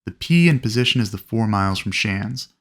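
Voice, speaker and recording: A man narrating an instructional lesson as a flight instructor, explaining things clearly and methodically. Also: lowish voice, expressive, fairly quick. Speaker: flight instructor presenting a training video. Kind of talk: instructional narration with acted radio calls.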